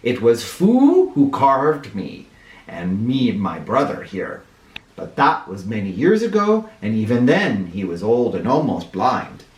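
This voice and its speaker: A man voicing a slow, whispery old wooden dragon. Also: mid-range voice, wildly swooping pitch, pitched below the performer's own voice. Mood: wistful. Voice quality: whispery, breathy. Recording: roomy, distant microphone.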